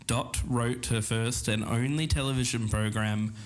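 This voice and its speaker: A man's voice: mid-range voice, steady tone, a little slow. A man co-hosting a podcast, moving between scripted narration and casual chat. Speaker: podcast co-host. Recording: clear background noise, recorded on location.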